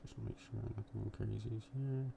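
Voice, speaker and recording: A man who works as a software developer, talking casually to viewers as he gives a coding demo. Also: on the low side, steady tone, normal speed, creaky, noisy background. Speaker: software developer making a video.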